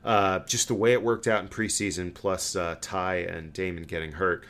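Low-pitched man, podcast co-host. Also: little pitch movement, normal speed, a bit bright. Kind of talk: casual sports chat and interviewing.

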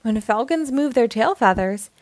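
A woman's voice: a little high, expressive, moderate pace, dry recording, close to an everyday voice. A woman voicing a helpful young girl sidekick who explains things in a storybook. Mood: explaining.

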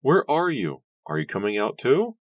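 A man's voice: medium pitch, wildly swooping pitch, moderate pace, dry recording, clean studio sound, pitched above the performer's own voice. A man voicing a terrified, grieving young woman in a horror audiobook, crying out and wailing. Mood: desperate, shouting. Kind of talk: dramatic audiobook character reading.

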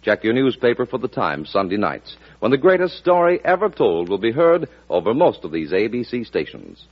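A man's voice: medium pitch, big pitch swings, moderate pace, husky. A man announcing in a polished, earnest 1940s scripted network radio style. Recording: dull old radio broadcast recording.

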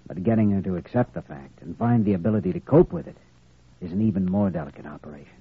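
An earnest, determined young doctor in an old radio drama. His lowish, husky voice is firm and persuasive, through a narrow vintage recording. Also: animated, quick pace, full character voice. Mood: earnest, troubled. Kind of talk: 1940s radio drama acting.

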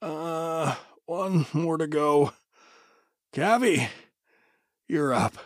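A man playing a hapless, polite, excitable everyman in a fantasy audio drama, folksy and easily flustered. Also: a little high, extremely slow, dry recording, clean studio sound. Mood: exasperated.

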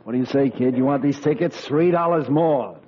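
A man's voice: mid-range voice, brisk, close to an everyday voice. An actor playing a businesslike box-office man, dry and matter-of-fact, in old-time radio comedy style. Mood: dry, impatient. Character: businesslike adult male box-office ticket clerk. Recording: dull, lo-fi vintage radio recording.

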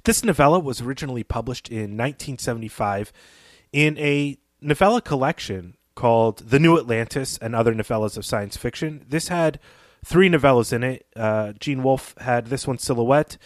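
A man with an average-pitched voice co-hosts a book podcast. He explains things informally and a little haltingly, in a dry recording with hardly any room sound. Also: no room sound. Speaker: podcast co-host.

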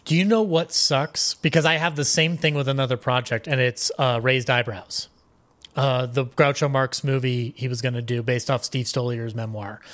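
A man's voice: medium pitch, fairly even intonation, moderate pace, fairly bright tone. A man talking casually as a podcast guest, rambling along with a fan's enthusiasm. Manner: rambling, enthusiastic fan talk. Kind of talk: casual interview chat.

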